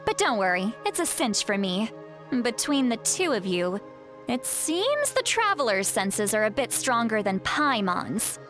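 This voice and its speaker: A woman voicing a kindly elderly shaman in a video game who strives to sound youthful, chatty, self-assured and gently guiding. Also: fairly high pitch, very animated, unhurried, soft background music. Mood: confident.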